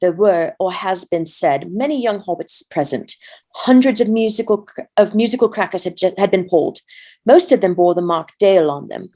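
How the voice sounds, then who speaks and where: A woman reading a fantasy story aloud as a volunteer, in measured narration. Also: medium pitch, a little mellow. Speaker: volunteer reader.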